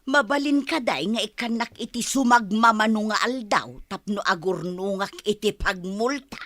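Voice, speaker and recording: A woman acting an excitable, worried Filipino village wife with an Ilocano accent in an audio drama. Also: mid-range voice, normal speed, dry recording, studio quality, close to an everyday voice. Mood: pleading.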